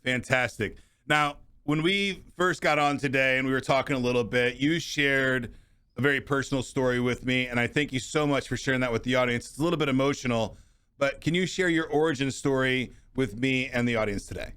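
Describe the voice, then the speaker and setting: A man's voice: mid-range voice, a little fast, a bit bright, smooth. A man hosting a business podcast, asking questions with enthusiasm. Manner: enthusiastic, friendly. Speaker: podcast host. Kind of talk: interview over video call. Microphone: studio microphone.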